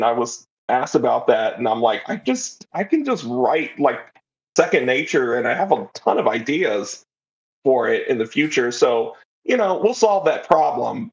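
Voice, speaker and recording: A man's voice: medium pitch, vocal fry. A man speaking casually as an interview guest, a writer chatting about his work, on slightly dull, lo-fi audio.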